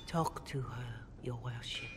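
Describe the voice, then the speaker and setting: A woman's voice: a bass voice, unhurried, music in the background. A woman playing a formal, persuasive priestess in a fantasy game, with a pleading manner. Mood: urging. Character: gentle but urging priestess, formal and persuasive. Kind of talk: dramatic video game dialogue.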